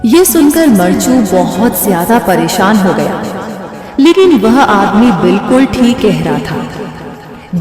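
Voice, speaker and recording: A woman narrating a scripted children's story. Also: a little high. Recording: echoey reverb, music and crowd sounds behind.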